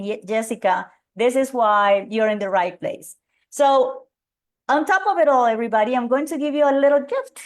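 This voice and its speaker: A middle-aged woman from Colombia with a Colombian Spanish accent, presenting an energetic live webinar and calling out audience members by name. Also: sits high. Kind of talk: live webinar coaching talk.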